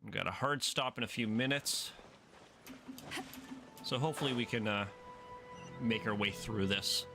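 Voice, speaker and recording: A man's voice: lowish voice, a little slow, vocal fry, pauses often. A man giving casual, joking playthrough commentary as he talks to himself while gaming. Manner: joking, self-talking. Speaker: gaming streamer. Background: game music.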